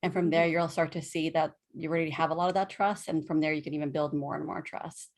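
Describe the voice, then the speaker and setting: Earnest low-voiced woman coach. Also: steady tone, fast. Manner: earnest, conversational, many fillers and self-quotes. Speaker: podcast guest, self-trust coach. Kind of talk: casual podcast conversation.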